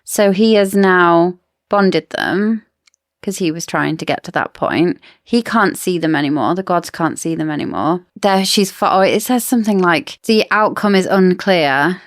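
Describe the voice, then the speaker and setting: A woman's voice: fairly low pitch, moderate pace, no echo, studio quality. A woman co-hosting a podcast, casually discussing books in an explanatory, speculative way. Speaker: podcast co-host.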